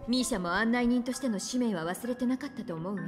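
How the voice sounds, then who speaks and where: An actress voices a thoughtful, mature woman from a game, composed and sure of herself. Her voice sits a little high, with music clearly playing behind it and a long reverberant tail. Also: fast talker, natural voice. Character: composed, thoughtful, mature female traveling companion. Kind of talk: Japanese game voice acting.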